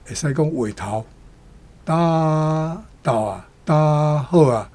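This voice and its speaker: A man with a Taiwanese accent explaining words and idioms, repeating them deliberately. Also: a little high, a little mellow. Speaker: language commentator.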